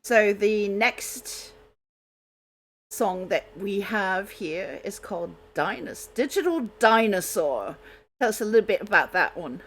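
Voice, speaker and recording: A woman's voice: medium pitch, expressive, takes it slowly. Woman hosting a casual radio chat. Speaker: radio show host.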